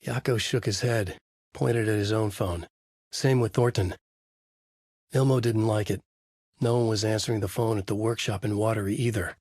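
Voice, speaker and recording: An actor playing a haunted male horror writer who narrates in a measured, brooding way in a dry studio booth. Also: on the low side, fairly even intonation, darkish tone, breathy edge, dry recording. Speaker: video game character narrating. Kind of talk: game voice lines, dark narration. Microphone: studio mic.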